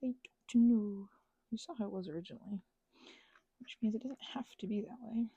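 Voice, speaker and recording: A woman rambling casually as she thinks aloud over a craft, halting and murmuring to herself, with playful asides to listeners. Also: fairly high pitch, vocal fry, warm tone, slightly rough, no echo, highs cut off. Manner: halting, drifts into murmurs and self-talk. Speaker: ASMR and audio creator.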